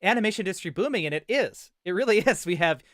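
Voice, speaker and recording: A man's voice: sits high, expressive, fast talker, a bit bright, no echo, clean studio sound. A man hosting a casual interview livecast, talking in an enthusiastic, affirming way. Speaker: host and interviewer.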